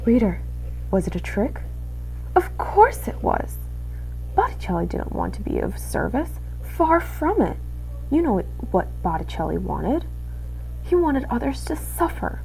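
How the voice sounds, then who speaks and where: A young woman, a student, reading a storybook aloud in expressive scripted narration. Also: fairly high pitch, measured pace, creaky, a little hiss or hum, rough recording. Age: young adult.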